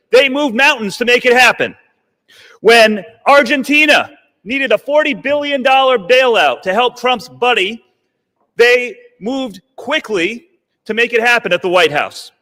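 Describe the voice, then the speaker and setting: A man's voice: very high-pitched, animated, a little slow, sharp tone. A man, a congressman, giving a sarcastic, indignant floor speech in a legislative chamber. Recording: long reverb of a large chamber.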